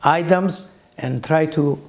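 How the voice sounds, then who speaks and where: A man in his eighties with a Greek accent, recalling his early life as an interview guest in halting phrases with long pauses. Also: on the high side. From Greece. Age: 80s. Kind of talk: slow reflective recollection.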